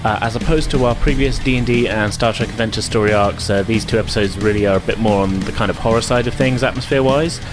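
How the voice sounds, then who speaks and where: A man's voice: fairly low pitch, quick pace, hardly any pauses. A man hosting a tabletop podcast as game master, giving an upbeat intro and recap with frequent "uh" fillers. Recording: music bed under voice. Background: music.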